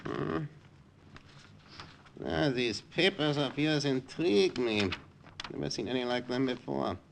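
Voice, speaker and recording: An actor playing a brusque, officious German Gestapo sergeant with a German accent in a 1940s radio drama. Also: male voice, mid-range voice, unhurried, gravelly, slight background noise. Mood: suspicious, amused. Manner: curt, suspicious, obsequious to superiors.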